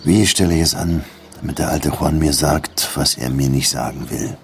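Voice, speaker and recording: A man playing a weary, gruff police detective who narrates his own case in a brooding, understated way in a German radio drama. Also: a bass voice, fairly even intonation, moderate pace, a little hiss or hum. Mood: intimate, probing. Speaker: lead actor and first-person narrator. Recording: music under the voice. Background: music.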